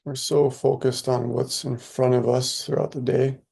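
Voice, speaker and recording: A man leading a guided meditation in a soothing, unhurried way, with spare phrases and long pauses between them. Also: mid-range voice, level tone, gravelly, a little mellow, husky.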